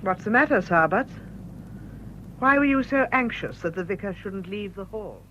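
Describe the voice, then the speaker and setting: A woman's voice: mid-range voice, expressive, normal speed, bright, forceful tone. An actress playing a respectable, disapproving married lady, acerbic and formal in a British radio drama. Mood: dry disapproval. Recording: dull, noisy radio recording.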